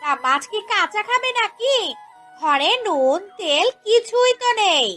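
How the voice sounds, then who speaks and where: A woman voicing a bossy, scolding village wife in a cartoon fairy tale, shrill and insistent. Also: expressive, unhurried, bright, forceful tone, faint music behind, exaggerated voice.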